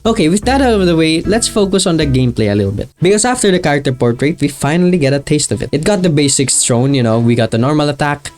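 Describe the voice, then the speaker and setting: A man's voice: on the high side, lively intonation, fast talker, few pauses, darkish tone. A man narrating a video essay in a casual, enthusiastic and informal way. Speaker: video creator. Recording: music behind the voice. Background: music with guitar.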